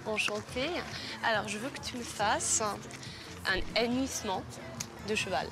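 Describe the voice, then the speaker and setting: A woman's voice: medium pitch, measured pace. A woman in her twenties, a contestant on a TV dating show, bold, teasing and curtly dismissive. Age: 20s. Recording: TV show audio with music under it.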